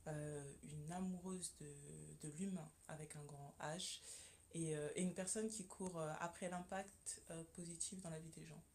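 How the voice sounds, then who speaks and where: A woman from Congo speaks as a radio guest with a fairly low voice. She talks earnestly, pausing often and repeating words as she searches for them. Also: fairly even intonation, darkish tone, slight background noise. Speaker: guest, communication consultant. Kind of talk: radio interview, personal testimony. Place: radio studio.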